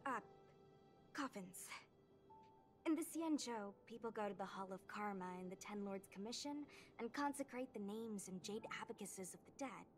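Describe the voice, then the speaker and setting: A woman voicing a curious, blunt young warrior girl in a video game, speaking in a casual, unschooled way. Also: fairly high pitch. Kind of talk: video game character dialogue. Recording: background music under the voice.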